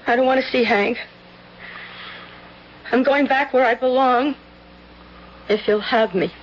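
A woman's voice: high voice, unhurried. An actress playing a lovesick, stubborn young woman, despondent yet defiant, in 1970s radio drama style. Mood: stubborn, wounded. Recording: dull, faintly noisy vintage radio.

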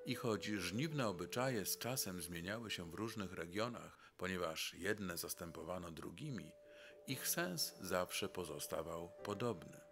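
A man's voice: low-pitched, lively intonation, measured pace. A man reading scripted narration as the narrator of a documentary. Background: instrumental music.

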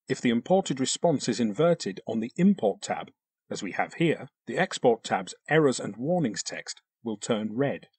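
A man delivers scripted software tutorial narration with a lively, varied pitch. His voice is a little husky, and he explains each step precisely. The sound is close and very dry. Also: dry recording.

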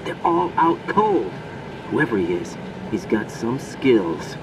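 A young male covert operative, reports in with serious, inquisitive, faintly cocky delivery. The voice sounds somewhat distant, with clear noise around it. It plays as video game radio dialogue. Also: medium pitch, big pitch swings, takes it slowly. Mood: serious, intrigued.

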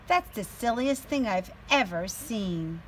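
A woman's voice: medium pitch, lively intonation, takes it slowly, a bit bright, rough recording. A woman voicing skeptical farm animals thinking dry, judging thoughts in a children's picture book. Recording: some background noise, outdoor ambience.

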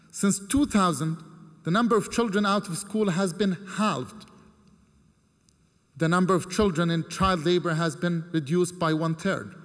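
A man in his thirties from Jordan giving a formal prepared speech from a podium, measured and earnest, with deliberate pauses between phrases. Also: a little high, a bit bright. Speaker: keynote speaker. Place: large ornate hall with seated audience. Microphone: podium microphone. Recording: long hall reverb.

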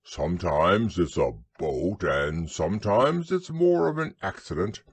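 A man voicing a proud, simple-minded, earnest storybook bear with a growly voice and slow, deliberate speech. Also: low voice, animated, breathy edge, dry recording, full character voice.

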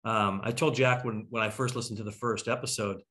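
A man's voice: fairly low pitch, fairly even intonation, brisk, a bit bright. A middle-aged man from Canada talking casually and a little ramblingly as a panel host over a video call. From Toronto, Canada. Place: home room, on a video call.

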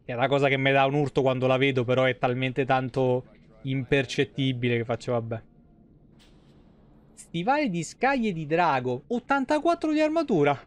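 A man's voice: mid-range voice, no room sound. A man, giving casual live game commentary full of slang and swearing. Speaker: game streamer. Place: indoor room at home, streaming on webcam.

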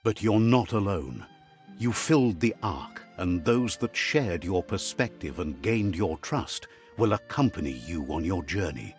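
An actor playing a wise, philosophical storyteller narrating a fantasy game in a solemn, measured way. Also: male voice, low voice, animated, darkish tone. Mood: warmly solemn. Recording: music behind the voice.

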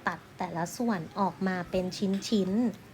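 A woman's voice: medium pitch, unhurried, darkish tone, slight background noise. A woman art teacher narrating a craft tutorial step by step in a polite, instructional way.

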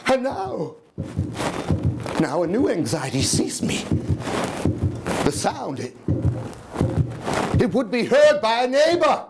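An older man playing a nervous, mad murderer in an intense, deliberate theatrical reading of gothic horror. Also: very high voice, sing-song, heavy background noise, exaggerated voice. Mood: frenzied, terrified fury. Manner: intense, deliberate, swinging from hushed to shouting. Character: mad, nervous murderer confessing with gleeful cunning. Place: indoor room in an old house, before small audience.

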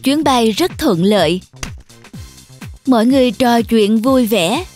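Female storyteller for children's tales, fairly high voice, scripted narration over light music. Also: expressive, slow pace, darkish tone.

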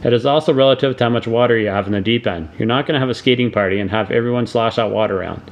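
A middle-aged man narrates a do-it-yourself tutorial in a voice of ordinary middle pitch. He reads from a script, laying out each step in a steady, plain way. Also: rapid-fire. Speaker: DIY channel host.

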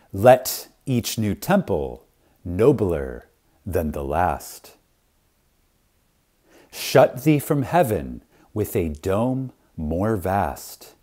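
A man narrating a spiritual audiobook in a reverent, deliberate manner, with dramatic pauses and strong emphasis. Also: sits low, mellow. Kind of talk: scripted audiobook reading of a spiritual text.

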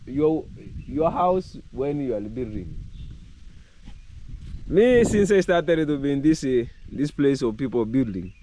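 A middle-aged Kenyan man talks hoarsely into a camera held at arm's length outside in a rural village. His voice is mellow and dark in tone, and he repeats words as he goes. Faint outdoor sounds sit behind him. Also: animated, slow pace, a little hiss or hum, poor audio. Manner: chatty, repeats words, bursts up in pitch when stressing points. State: hoarse from a cold. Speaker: vlogger. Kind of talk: casual vlog to camera.

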